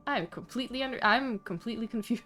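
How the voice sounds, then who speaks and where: A woman's voice: a little high, fast talker, fairly bright tone. A woman streaming a game, giving casual, off-the-cuff reaction commentary. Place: livestream, game music behind.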